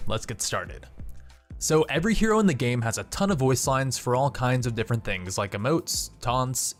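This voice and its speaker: Young man narrating over music. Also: medium pitch. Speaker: gaming channel host. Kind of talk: scripted video narration. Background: background music.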